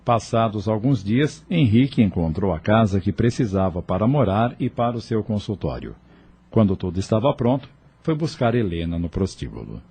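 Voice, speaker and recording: Mellow, low Brazilian male narrator. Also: sing-song, normal speed, breathy edge. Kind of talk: scripted audio novel narration.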